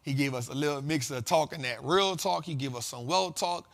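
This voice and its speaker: A man's voice: on the high side, fairly quick, creaky, a bit bright. Slangy, fired-up man talking money. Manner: slangy, conversational, builds to preacher-like repetition. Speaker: host of a finance talk show. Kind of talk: casual motivational monologue.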